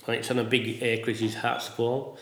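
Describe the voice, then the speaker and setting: Farmer guy chatting loud, lots of "isn't it?", echoey sound. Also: medium pitch, flat delivery, brisk. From England. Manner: loud voice, chatty, asks tag questions. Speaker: sheep farmer. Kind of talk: casual conversation.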